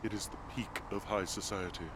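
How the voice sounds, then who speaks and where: Deep deadpan actor as a male fire lookout. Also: brisk, background noise, lightly acted. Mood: deadpan, bashful. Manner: deadpan, hesitant. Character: laconic, sardonic, awkward male fire lookout. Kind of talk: naturalistic video game voice acting.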